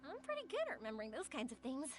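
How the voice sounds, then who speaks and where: Bubbly young game girl, really high and swoopy, music behind. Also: huge pitch swings, rapid-fire. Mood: enthusiastic. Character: bubbly, excitable young woman on a trip. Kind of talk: animated video game voice acting. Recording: loud music under the voice.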